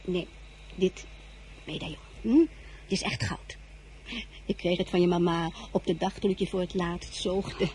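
A woman's voice: on the low side, level tone, measured pace, long pauses, a little mellow, lightly acted, low-quality recording. A loyal older serving woman in a radio play, acted by a woman. She is practical and motherly as a former wet nurse, with faint noise around her. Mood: urging. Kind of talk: radio drama acting.

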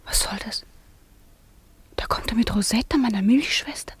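An actress playing a proud, jealous young noblewoman in a radio drama, murmuring hushed, breathy asides to herself. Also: on the high side, normal speed, in a whisper, natural voice. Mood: hurt, suspicious. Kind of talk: intimate radio drama monologue.

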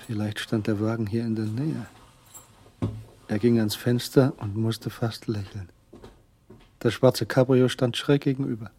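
A man's voice: mid-range voice, steady tone, a little slow, pauses often, warm tone, close to an everyday voice. A man performing as the third-person narrator of a German crime radio drama, with scripted, tense storytelling. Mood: matter-of-fact.

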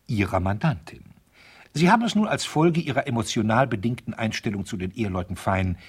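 An actor playing a pompous, pedantic lawyer who dictates stiffly indignant, officious letters in a raspy voice, in a 1970s German radio play. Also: male voice, medium pitch. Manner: formal, officious, legalistic, pointedly emphatic.

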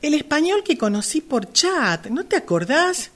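A woman's voice: high-pitched, sing-song, measured pace. An Argentine woman playing a hesitant sister in love, a longtime caregiver, in an amateur radio drama. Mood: friendly, hesitant.

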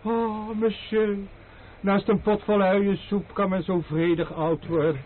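A fretful manservant in an old radio play, with a high voice. He grumbles humbly and at length, cautious yet devoted, through a thin, narrow-band recording. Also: little pitch movement, slow, slight background noise. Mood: anxious grumbling. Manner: plaintive, wordy, servile grumbling. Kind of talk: old radio drama acting.

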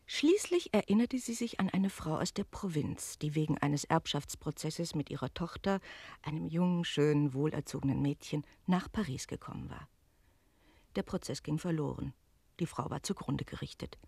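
An actress playing a worldly, teasing innkeeper's wife who tells stories expressively and confidingly in a German radio play. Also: female voice, deep voice, very animated, moderate pace, creaky, a little mellow, husky, no room sound, full character voice. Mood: confiding, narrating.

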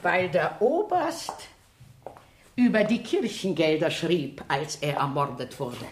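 A sharp-witted, persistent elderly lady detective in a radio drama. Her delivery is pointed and theatrical, with lively swings of pitch. The room is audible, with faint hiss. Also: slow pace, reverberant. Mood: probing. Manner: probing, pointed, theatrically emphatic. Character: shrewd, persistent older female amateur sleuth. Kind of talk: German radio crime drama acting.

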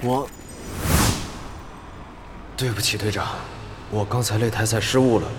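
A man portrays a dejected young teammate in an audio drama. His lowish voice falters apologetically, ringing with long reverb over noticeable background noise. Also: steady tone, unhurried, lightly acted. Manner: hesitant, faltering. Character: dejected, apologetic young male team member. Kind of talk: Mandarin audio drama acting.

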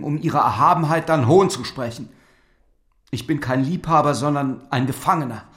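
An actor playing a lovesick, despairing young lord who speaks in earnest, solemn, literary German drama style. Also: male voice, medium pitch, close to an everyday voice. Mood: anguished despair. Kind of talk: German audio drama acting. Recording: music under the voice.

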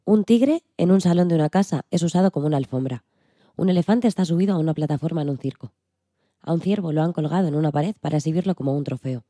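A woman from reads a script as co-presenter on a radio show. Her voice is very dark and soft, and she moves along briskly in a dry, close recording. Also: deep voice, no room sound. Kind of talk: scripted reading of a picture-book description.

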